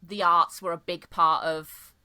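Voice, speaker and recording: Bright-voiced South Welsh woman. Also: lowish voice, level tone, unhurried, a little hiss or hum. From South Wales. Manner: chatty, self-interrupting, laughs easily. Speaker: podcast host. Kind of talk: casual podcast interview.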